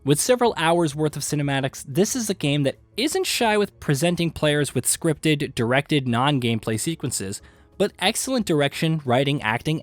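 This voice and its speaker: A man reading scripted video game list narration with an emphatic, punchy delivery and dramatic pauses. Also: fairly high pitch, dry recording. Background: background music.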